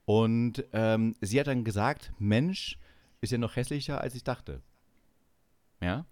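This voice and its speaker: A man from eastern Germany chatting casually and comically as a podcast host, animated and given to mock-indignant banter. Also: mid-range voice, takes it slowly, dry recording. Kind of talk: casual comedic chat.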